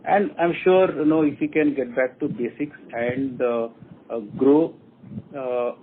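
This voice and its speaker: A man with an Indian accent, a company executive answering questions on an earnings call in a deliberate way, laying out his points one by one. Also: medium pitch, steady tone. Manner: deliberate, repeats and enumerates points. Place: telephone conference call. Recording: muffled phone line with faint noise.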